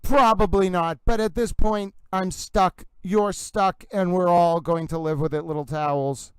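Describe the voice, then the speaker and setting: High, smooth-voiced theatrical male streamer. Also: fairly even intonation, a little slow, no room sound, rough recording. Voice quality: slightly breathless. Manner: theatrical, gushing, singsong put-on intonation. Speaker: livestream host. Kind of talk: casual livestream chat with viewers.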